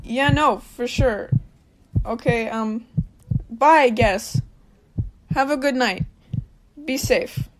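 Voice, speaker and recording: High-voiced, earnest teenage boy acted by a woman. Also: slow, long pauses, a little hiss or hum, low-quality recording. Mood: concerned. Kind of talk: amateur radio play acting.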